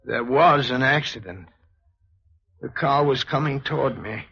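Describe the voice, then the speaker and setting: An actor playing a weary, stubborn middle-aged husband, tense and insistent, in 1940s radio drama style. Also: male voice, mid-range voice, unhurried, creaky, fairly bright tone, breathy edge, heavily acted. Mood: dazed. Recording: muffled, hissy vintage radio recording.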